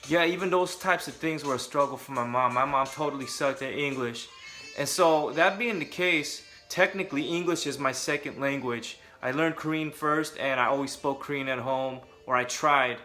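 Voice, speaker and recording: A man's voice: medium pitch, sharp tone. A young adult man from California, talking casually and candidly to camera with joking asides. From Southern California. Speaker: vlogger.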